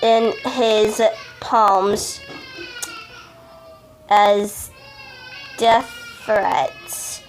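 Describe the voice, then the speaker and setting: A woman reading a story aloud as an amateur, haltingly, often stumbling over words and correcting herself. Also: mid-range voice, steady tone, faint music behind, rough recording. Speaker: amateur fan fiction reader.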